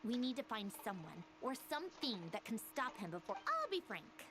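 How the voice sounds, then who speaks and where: An actress playing a sharp, proud young researcher in a video game, matter-of-fact and slightly impatient. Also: female voice, on the high side, big pitch swings, moderate pace, background noise. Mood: grave, urgent.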